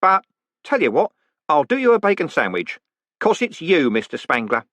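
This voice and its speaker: A man's voice: on the high side, sing-song, moderate pace, a bit bright, no echo, pitched above the performer's own voice. Man doing a chirpy, deferential prison warden. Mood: chatty. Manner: cheerful, rambling, says sir constantly.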